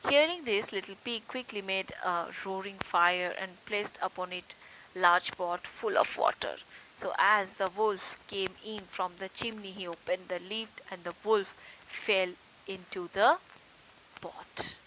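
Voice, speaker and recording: Woman teacher reading a fable to little kids, bright crisp-edged voice, bit of hiss behind. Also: medium pitch, measured pace, rough recording. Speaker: kindergarten teacher. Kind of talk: storytelling lesson for young children. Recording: noticeable background hiss.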